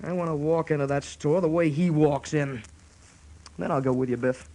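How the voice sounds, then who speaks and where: A man's voice: on the high side, normal speed, dark tone, natural voice. An actor in a radio play voicing an ambitious young American man, a striving brother who works at a store. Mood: envious, determined.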